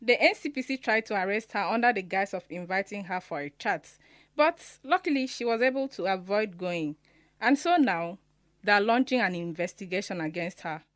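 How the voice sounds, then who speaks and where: An actress with a Nigerian accent playing a chatty, informed woman in a conversational radio drama, informal and expressive. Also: mid-range voice, normal speed, no echo, close to an everyday voice. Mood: concerned. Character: informed, chatty woman sharing troubling news.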